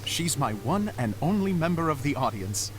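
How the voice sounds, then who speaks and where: An actor voicing an earnest male theater director in a game, speaking in a gently theatrical storytelling manner. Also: a little high, sing-song, normal speed, slight background noise. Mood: proud. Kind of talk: game voice acting. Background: game music.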